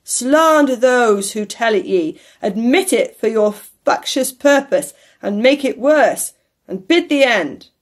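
A woman's voice: mid-range voice, steady tone, measured pace, a little mellow, lightly acted. A woman voicing a grave, sorrowful aging male spirit in a storybook, with solemn, emphatic delivery. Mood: stern warning.

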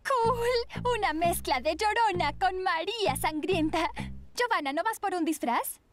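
Friendly teen cartoon girl: high-pitched, inquisitive, expressive. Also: measured pace, over music. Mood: upbeat, puzzled. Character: curious, friendly teenage girl. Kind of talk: dubbed cartoon acting.

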